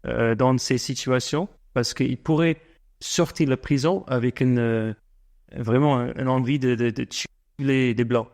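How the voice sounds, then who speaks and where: A man's voice: medium pitch, steady tone, unhurried, darkish tone. Hesitant South African-raised man in his forties. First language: not French. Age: 40s. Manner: hesitant, many fillers and restarts. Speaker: guest entrepreneur. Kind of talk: interview answers.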